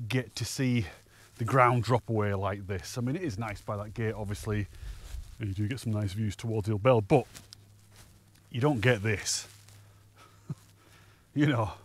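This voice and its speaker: A man, chatting cheerfully to camera while hiking on an open fell top, often laughing. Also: mid-range voice. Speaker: hiking vlogger. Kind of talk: casual walking commentary to camera.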